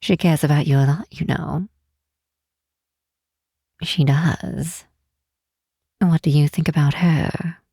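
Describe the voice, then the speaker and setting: A woman playing a proud, doting and protective demon queen mother in an intimate roleplay, speaking softly in a measured, stately, gently teasing way. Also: low voice, fairly even intonation, gravelly, breathy edge, no echo, studio quality, full character voice. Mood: warm, teasing. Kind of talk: roleplay ASMR, soft intimate acting.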